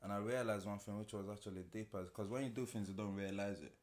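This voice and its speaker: A man, telling stories in a relaxed way with slang as an interview guest. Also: lowish voice, fast talker, a little hiss or hum. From Nigeria. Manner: relaxed storytelling with slang, laughs often. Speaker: music producer and interview guest. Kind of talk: casual interview chat.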